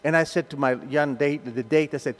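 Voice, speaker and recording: An older man from Argentina with an Argentine Spanish accent, a native Spanish speaker, telling an animated story to a meeting and acting out the voices. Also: on the high side, brisk, long reverb tail. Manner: animated, acts out voices in his stories. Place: meeting hall.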